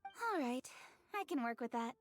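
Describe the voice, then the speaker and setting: A woman's voice: high-pitched, expressive, unhurried, faint music behind. A woman voicing a practical, responsible young official in a video game, composed and businesslike. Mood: decisive. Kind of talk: video game character acting.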